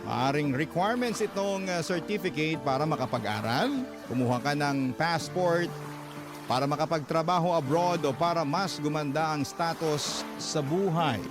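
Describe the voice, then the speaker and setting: A male radio host reads a listener's letter aloud in scripted storytelling narration. His voice sits slightly high and he speaks straight to his listeners. A clear music bed runs underneath. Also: normal speed.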